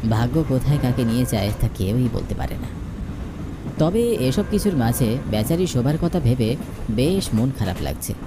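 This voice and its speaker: A man narrating a scripted story in the first person, expressive and dramatic. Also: mid-range voice, normal speed, noisy background. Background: music.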